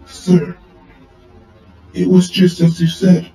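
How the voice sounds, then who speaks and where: A man playing a deferential underling reporting gravely to his superior in an audio roleplay. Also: high voice, completely flat delivery, takes it slowly, warm tone, heavy reverb, faint music behind, poor audio.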